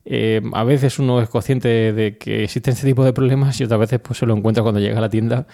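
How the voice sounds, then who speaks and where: Mellow Spanish man hosting a podcast. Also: mid-range voice, steady tone, fast talker, creaky. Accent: Spanish from Spain. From Murcia, Spain. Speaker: podcast host. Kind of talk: conversational news commentary on a podcast.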